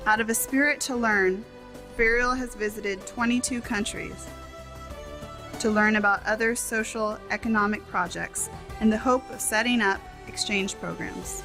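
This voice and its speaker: A woman reading a translated tribute and speech as a voice-over, in measured, formal phrasing with short clauses. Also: fairly high pitch, over music.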